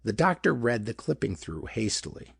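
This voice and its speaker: A man's voice: on the low side, sing-song, normal speed, vocal fry, husky, no echo, clean studio sound. A man reading a pulp detective story as dramatic scripted narration. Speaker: audiobook narrator.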